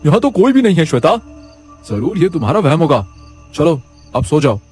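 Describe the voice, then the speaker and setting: A man's voice: fairly high pitch, very animated, fairly quick, darkish tone, soft background music, pitched above the performer's own voice. Man acting a firm, raised-voice doctor husband. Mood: irritated, dismissive. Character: stern, dismissive doctor husband in horror cartoon.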